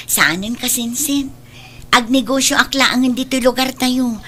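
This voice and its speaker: A woman's voice: high-pitched, unhurried, fairly bright tone. A woman with an Ilocano Filipino accent playing a dutiful, long-suffering adult daughter in an audio drama, earnest and pleading. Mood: reassuring.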